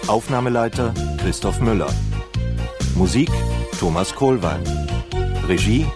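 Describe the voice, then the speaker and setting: A man's voice: on the low side, lively intonation, unhurried. A man as announcer, reading scripted credits for a radio production. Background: music.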